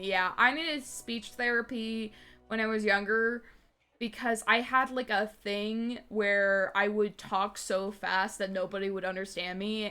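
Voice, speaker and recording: A woman's voice: mid-range voice, a bit bright. Young female streamer, slight lisp, rambly and full of fillers, faint music underneath. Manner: rambling, many fillers and restarts, laughs often. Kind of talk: casual live-stream chat.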